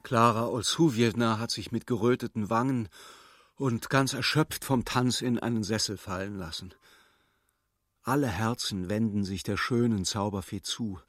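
An actor as the narrator of a literary radio play, telling the story precisely and with dramatic suspense. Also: male voice, fairly low pitch, normal speed, fairly bright tone, no echo. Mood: measured, observing. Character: male storybook narrator, vivid and urgent observer. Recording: studio radio play with music.

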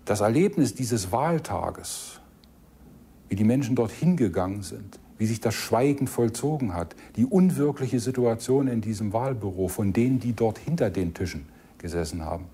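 A man from East Germany giving reflective interview testimony in a hesitant, measured way. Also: on the low side, animated, mellow, slightly rough. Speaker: former party secretary.

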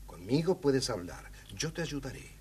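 An actor playing a kindly, patient king with a husky voice in a children's audio storybook. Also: mid-range voice, very animated, moderate pace, a little mellow, a little hiss or hum, natural voice. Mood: kind, coaxing. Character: kindly, theatrical old-fashioned king, patient and curious.

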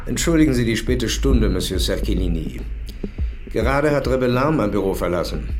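A man's voice: on the low side, expressive, normal speed, music in the background, lightly acted. A man playing a cool, shrewd police superintendent in an audio drama, dry, terse and authoritative. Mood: polite, calculating. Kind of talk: German audio drama acting.

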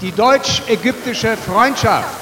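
An actor playing a jovial, pompous master of ceremonies, making grand, formal stage announcements in a radio play. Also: male voice, sits high, lively intonation, slow pace. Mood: festive. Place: large club hall with audience. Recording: echoing hall PA with music, crowd.

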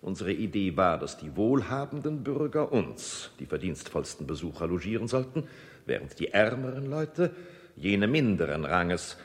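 An actor playing a snobbish, indignant court lord, pompous and officious in an emphatic radio play monologue. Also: male voice, deep voice. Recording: reverberant hall.

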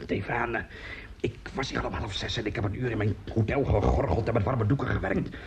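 An actor playing a temperamental, vain male opera singer in a radio play, hoarse, haughty and theatrical. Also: fairly low pitch, a little fast, slight background noise. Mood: indignant.

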